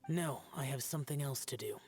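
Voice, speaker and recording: A man's voice: medium pitch, a little fast, darkish tone, husky, soft background music. A man playing a stern, brooding young demon slayer in an anime game, curt, reserved and formal. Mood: aloof, stern. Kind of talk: anime video game voice acting.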